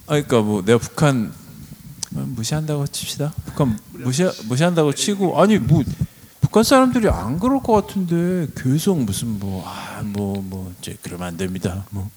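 A man's voice: medium pitch, animated, moderate pace, a little mellow, a little hiss or hum. A man co-hosting a podcast, chatting bluntly and casually about a drama, swearing and laughing often. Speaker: podcast co-host. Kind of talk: casual chat reviewing a drama.

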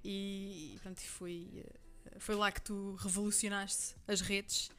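A woman's voice: mid-range voice, little pitch movement, slow pace, sharp tone. Woman interviewer. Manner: attentive, prompting with short backchannels. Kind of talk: conversational interview.

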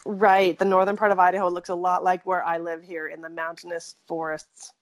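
A middle-aged woman from Saskatchewan tells her story as an interview guest. She speaks at a slightly low pitch, chatting freely, backtracking and correcting herself as she goes. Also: flat delivery, a little fast. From Canada, Saskatchewan. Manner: chatty, rambling, frequent self-corrections and laughs. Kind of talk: conversational storytelling.

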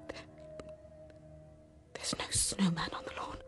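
A woman playing a fussing, easily alarmed suburban mother with a British accent in a radio horror drama, maternal and chiding. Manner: maternal, expressive.

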